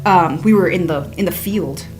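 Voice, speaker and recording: A woman's voice: lowish voice, normal speed, a little mellow. College girl early 20s on a casual radio chat, slangy n jokey, music playing behind her. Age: early twenties.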